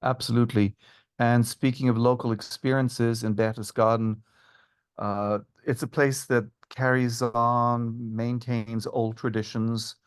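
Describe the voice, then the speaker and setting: A man speaking as a tour guide and historian, giving informative, measured answers in an interview. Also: mid-range voice, level tone, clear, resonant tone.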